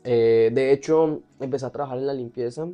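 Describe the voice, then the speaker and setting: Male interview guest, Colombian accent, soft mellow tone, mid pitch, candid and conversational, light music behind. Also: fairly even intonation, a little fast. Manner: candid, often laughing as he talks. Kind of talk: casual personal storytelling.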